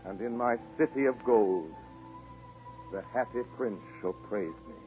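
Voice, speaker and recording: A man's voice: on the low side, measured pace, close to an everyday voice. A man voicing God as solemn, benevolent and majestic, in 1940s radio acting. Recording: tinny old 1940s radio broadcast, faint orchestral music.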